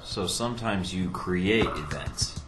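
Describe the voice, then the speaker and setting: A man's voice: sits low, slow pace. A man playing an easygoing, inquisitive agent recruit in a video game, speaking casually with a wry edge. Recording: roomy echo, loud game music behind.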